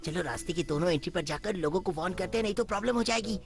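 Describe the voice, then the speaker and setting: A woman's voice: fairly low pitch, rapid-fire, gravelly. A woman voicing an earnest, polite young boy superhero in a dubbed cartoon. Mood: concerned.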